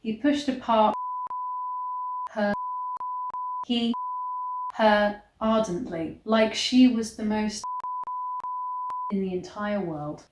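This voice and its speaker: A woman reading a story aloud in a measured, theatrical storybook style. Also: medium pitch, background noise. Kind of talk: dramatic storybook reading with disgusted asides. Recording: echoey, roomy sound.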